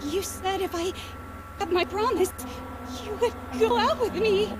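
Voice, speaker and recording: A woman's voice: very high voice, unhurried, heavy background noise. An actress playing a gloomy, insecure, lovelorn teenage girl in an English game dub, stammering and wounded. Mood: pleading.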